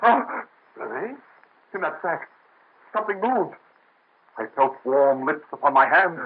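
An actor playing an anxious physician, fretful and pleading, with a husky voice. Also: male voice, a little high, very animated, measured pace, exaggerated voice. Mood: frantic. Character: anxious male physician, haunted and desperate. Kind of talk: 1930s radio drama. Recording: tinny old radio recording.

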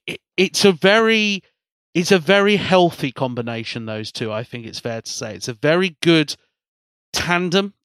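A man's voice: medium pitch, fairly bright tone, no room sound. A man hosting a podcast in casual chat, rambling and often repeating words as he restarts his sentences. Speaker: podcast host.

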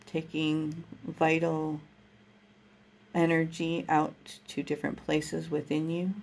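Slow, soothing woman with a gravelly edge. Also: deep voice, completely flat delivery, darkish tone. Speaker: meditation guide. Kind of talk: guided breathing meditation.

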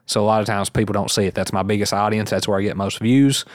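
A man's voice: lowish voice, fairly even intonation, quick pace, no room sound, studio quality. A man hosting a casual podcast, rambling in an easygoing way and laughing often. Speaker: podcast host.

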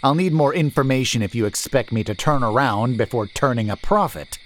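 A man's voice: medium pitch, brisk, slight background noise, pitched above the performer's own voice, natural voice. A male narrator voicing a suspicious, brusque merchant who demands answers on the road. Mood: skeptical. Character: suspicious gruff male merchant leading a wagon.

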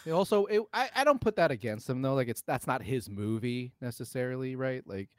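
Man, podcast co-host, lively varied pitch, dry close sound, casual, slangy, argumentative. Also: fairly quick, no echo. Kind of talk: casual film chat.

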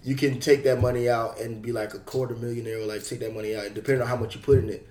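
A man's voice: medium pitch, fairly even intonation, rapid-fire, darkish tone. A young man co-hosting a podcast, rambling and laughing through stories in casual chat. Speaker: podcast co-host. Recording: echoey room.

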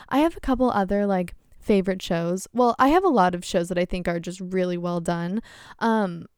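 A woman in her twenties hosting her own podcast, chatting casually on her own with an excitable, candid manner, laughing often and swearing freely. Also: medium pitch, smooth, dry recording, studio quality. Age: 20s. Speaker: podcast host. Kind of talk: casual solo chat.

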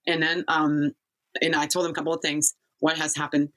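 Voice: mid-range voice, level tone, brisk, fairly bright tone. A person from Kazakhstan telling a life story in a casual interview, rambling and often losing the thread.

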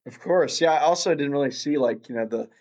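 A man's voice: on the high side, brisk, a little mellow. A young man from Russia, a guest chatting casually in an interview, rambling with lots of fillers and restarts.

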